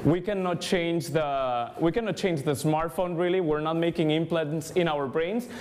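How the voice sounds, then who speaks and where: A young man from Spain with a Spanish accent, giving an energetic conference talk and speaking straight to the audience. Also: a little high, moderate pace, a little hiss or hum. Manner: energetic, engaging, addresses the audience directly. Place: large conference room, indoors.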